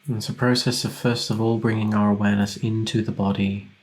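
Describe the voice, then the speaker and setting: A man guides a meditation in a fairly low voice. His intonation stays very flat and steady. He gives gentle, unhurried instructions and leaves long pauses between phrases. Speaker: meditation teacher.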